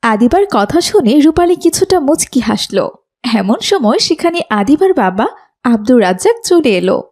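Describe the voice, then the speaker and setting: A woman's voice: high voice, sing-song, normal speed, a little mellow. A woman reading scripted narration for a children's story as its narrator.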